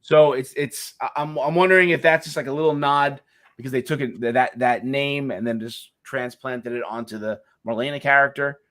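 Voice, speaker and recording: A middle-aged man co-hosting a casual live chat, talking in a halting way with many restarts. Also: mid-range voice, a bit bright. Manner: halting, many restarts and fillers, laughs easily. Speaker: co-host.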